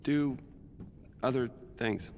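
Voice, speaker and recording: A weary, gentle scientist in middle age. He speaks slowly with a lot of fry, hesitant and mumbling, in a naturalistic game style. Long reverb hangs around the voice. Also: mid-range voice. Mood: awkward. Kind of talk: naturalistic video game acting. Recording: long reverb, faint background noise.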